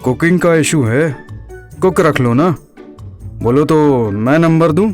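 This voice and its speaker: A middle-aged father, played by a man, fussy and comic, in an audio drama. His voice is a little high, with light music under it. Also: expressive, slow pace. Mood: teasing, chatty.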